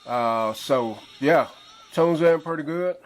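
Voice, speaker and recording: A middle-aged man casually reviewing a pocket radio, rambling along with frequent pauses and fillers. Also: fairly high pitch. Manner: relaxed, rambling, frequent uhs. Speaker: gadget reviewer.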